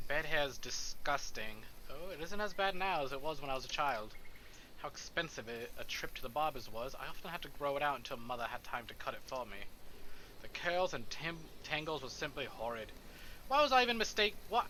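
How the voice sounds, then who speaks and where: A man's voice: a little high, pushed and bright, a little hiss or hum, low-quality recording. A man reading visual novel narration on a livestream, expressively and theatrically, with casual asides. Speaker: streamer.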